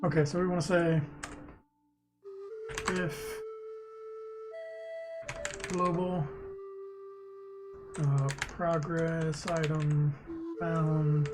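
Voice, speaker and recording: A man streaming as a game developer, casually thinking aloud and muttering haltingly to himself as he works through code. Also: on the high side. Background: music, keyboard typing.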